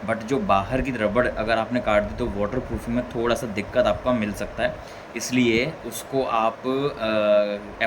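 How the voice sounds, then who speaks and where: A man giving a casual hands-on tutorial as a vlogger, informally thinking aloud step by step. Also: fairly low pitch. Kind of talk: casual hands-on tutorial chat in Hindi mixed with English words. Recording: clearly noisy background ambience.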